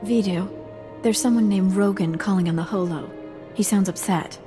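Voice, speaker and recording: A woman's voice: mid-range voice, moderate pace, close to an everyday voice. An actress playing a friendly, anxious, ingratiating woman who works for an underworld boss in a video game. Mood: concerned. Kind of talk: video game character dialogue. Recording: reverberant hall sound under music.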